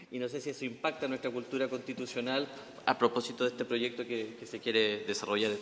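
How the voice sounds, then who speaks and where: A man's voice: medium pitch, level tone, a little fast, a bit bright, slight background noise. A man from Chile, moderating a panel in a conversational, easygoing way. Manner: conversational, light laughs. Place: large conference hall. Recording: echoey hall with faint ambience.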